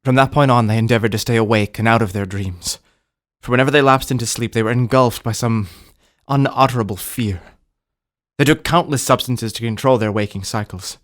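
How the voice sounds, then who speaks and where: Actor as a composed, mid-pitched gentleman narrator. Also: natural voice. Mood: somber. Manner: formal, measured storytelling. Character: composed, literate male narrator, an art collector friend. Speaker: narrator and actor in a radio drama. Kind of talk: scripted dramatic narration.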